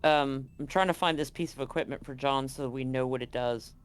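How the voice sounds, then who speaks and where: A game master with a mid-range voice narrates a tabletop roleplaying game. The delivery is casual and halting, with phrases reworked along the way. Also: medium pitch, steady tone, clean tone.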